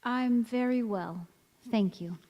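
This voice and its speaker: A woman playing a wistful, gentle mother in an old-time radio drama, recorded in an echoey church hall. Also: a little high, slow pace, lo-fi sound. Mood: subdued. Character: wistful, gentle mother returned as young visitor.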